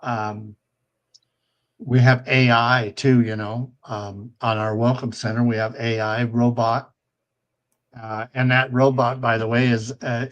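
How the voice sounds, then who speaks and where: A man in his seventies hosting a casual live-stream talk show, rambling with many fillers and restarts. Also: mid-range voice. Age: 70s.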